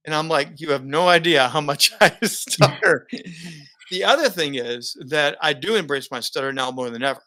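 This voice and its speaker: A man's voice: fairly high pitch, normal speed. A middle-aged man, a broadcaster and speaker, telling stories in a casual interview and laughing often. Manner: animated storyteller, laughs often. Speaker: guest, broadcaster and keynote speaker.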